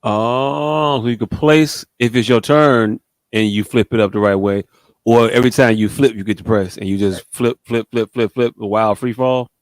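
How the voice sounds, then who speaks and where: Guy hosting a podcast, mid pitch, slight stutter, sweary slang banter, dry close sound. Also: fairly even intonation, normal speed, dry recording. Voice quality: slight speech impediment with some stutter. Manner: slang-heavy, profane, joking banter. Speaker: podcast host. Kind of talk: casual chat.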